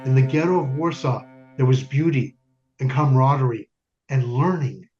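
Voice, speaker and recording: A man's voice: medium pitch, darkish tone, reverberant, natural voice. Man acting a solemn husband narrating, muffled, over music. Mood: solemn, reverent. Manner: measured, solemn, poetic delivery. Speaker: community theater actor. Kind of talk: dramatic stage narration.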